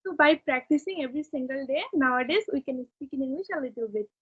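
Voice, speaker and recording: High young girl practising English. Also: fast talker, fairly bright tone. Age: child, about twelve. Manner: formal rehearsed learner phrasing. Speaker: young English learner with a video channel. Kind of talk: earnest conversation practice. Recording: dull, narrow phone-like call.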